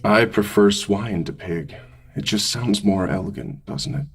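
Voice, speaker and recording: Deep gravelly talking pig, sly. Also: male voice. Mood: sly, amused. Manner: calm, coaxing, drawn-out teasing phrasing. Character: smooth-talking, sly, laid-back talking pig. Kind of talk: audio drama acting. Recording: music bed under close binaural voice.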